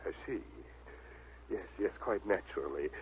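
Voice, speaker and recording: A man's voice: deep voice, lively intonation, moderate pace, slightly rough, exaggerated voice. A man playing an oily, pompous phony doctor who stammers and chuckles in an old-time radio drama. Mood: flustered. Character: oily phony doctor, flustered and falsely sympathetic. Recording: noisy, narrow-band old radio recording.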